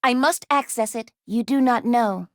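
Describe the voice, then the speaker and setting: Woman voicing an earnest, high-pitched little robot. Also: normal speed, dry recording, clean studio sound, lightly acted. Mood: earnest, gentle. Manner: formal, precise diction without contractions. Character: small earnest, self-doubting female robot companion. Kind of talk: video game character dialogue.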